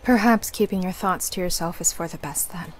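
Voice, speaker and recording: A woman voicing a sharp-tongued young necromancer in a visual novel, skeptical and sardonic. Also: on the low side, brisk, a little hiss or hum, close to an everyday voice. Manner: sardonic, probing.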